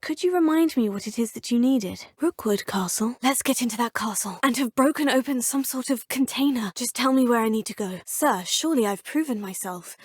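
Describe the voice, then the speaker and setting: A woman's voice: fairly high pitch, animated, brisk, few pauses, breathy edge, no echo, studio quality. A young woman voicing a game's player character, earnest and determined. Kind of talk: game voice acting. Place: recording studio.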